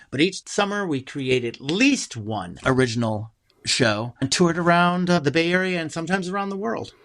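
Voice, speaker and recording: A middle-aged American man speaking conversationally as an interview guest, an animated storyteller who acts out remembered dialogue. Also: medium pitch, dry recording, clean studio sound. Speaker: interview guest, theater playwright, actor and director.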